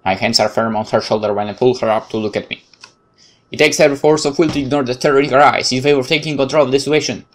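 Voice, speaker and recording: A man hosting a let's play, reading narration aloud in a measured, dramatic way with casual commentary. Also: mid-range voice, fairly even intonation, slight background noise.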